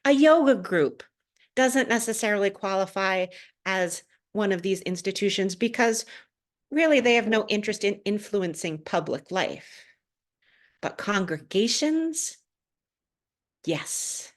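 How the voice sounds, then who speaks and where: A woman minister delivering a prepared sermon to a congregation, phrasing deliberately and pausing for emphasis. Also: mid-range voice, lively intonation.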